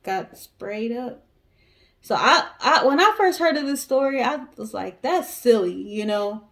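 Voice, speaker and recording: A woman's voice: a little high, animated, a little slow, fairly bright tone. American woman telling true crime stories. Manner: conversational, colloquial, addresses audience directly. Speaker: co-host of a storytelling show. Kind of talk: casual true crime storytelling to viewers.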